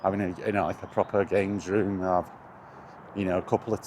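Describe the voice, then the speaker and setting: A man's voice: deep voice, flat delivery, brisk, a little mellow. A man giving a rambling, casual vlog chat about his hobby in a hesitant, conversational, wryly exasperated way. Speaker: video game vlogger. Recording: clear outdoor background noise.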